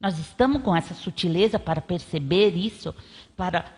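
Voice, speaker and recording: A woman with a Brazilian accent and a husky voice, contributing to an informal group discussion. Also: fairly low pitch, moderate pace, darkish tone. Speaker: discussion participant. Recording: reverberant hall.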